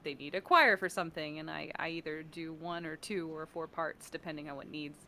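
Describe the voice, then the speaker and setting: A woman with a very bright, forward voice in a casual interview. She talks in an animated, self-mocking way and slips into small acted quotes as she explains her work. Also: low voice, a little fast, a little hiss or hum. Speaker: guest, voice artist and sound designer. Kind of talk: casual interview chat on a live stream.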